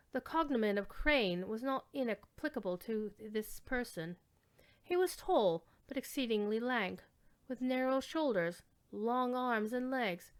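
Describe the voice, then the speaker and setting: A woman reads classic fiction aloud as a storyteller. Her voice is fairly high, smooth and clear. She takes a measured, theatrical approach and lingers over descriptive words. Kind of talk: dramatic reading of classic literary fiction.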